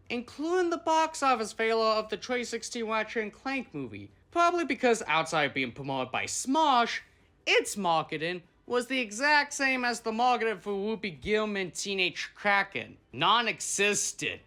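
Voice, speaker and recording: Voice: medium pitch, big pitch swings, moderate pace, pushed and bright. A video host giving casual, opinionated commentary from a script, with some swearing.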